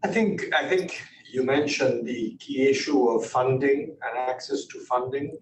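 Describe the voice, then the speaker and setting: A man speaking as a company executive, giving measured business commentary on an earnings call. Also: medium pitch. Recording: echoey, distant mic, faint background noise.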